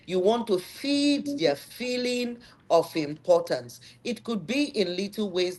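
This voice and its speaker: A woman hosting a live-stream conversation, speaking in a measured, motivational way. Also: mid-range voice, a little mellow. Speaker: host.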